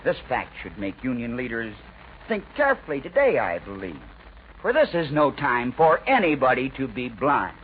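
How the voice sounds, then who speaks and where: A man with an American accent delivers a scripted 1940s radio editorial in an oratorical, emphatic way, with deliberate rhetorical pauses. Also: mid-range voice, huge pitch swings. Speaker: radio news commentator. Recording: dull, noisy 1940s radio recording.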